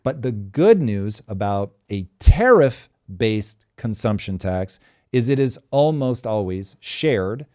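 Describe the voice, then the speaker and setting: A man's voice: lowish voice, warm tone, no echo, studio quality. A man hosts a live show and talks animatedly, explaining things at length with examples. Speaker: show host.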